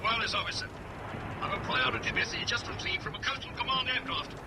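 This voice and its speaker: An actor playing a dutiful ship's wireless officer in a wartime radio drama, reading out signals in a formal, precise way. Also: male voice, very high-pitched, fairly even intonation, quick pace, creaky, a crowd in the background. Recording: distant voice, loud engine noise.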